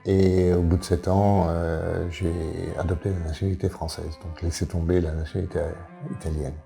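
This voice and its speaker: Very deep, dark-voiced man in his sixties. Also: flat delivery, moderate pace, gravelly, faint music behind. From Paris, France. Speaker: election candidate being interviewed. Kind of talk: interview answers, self-presentation.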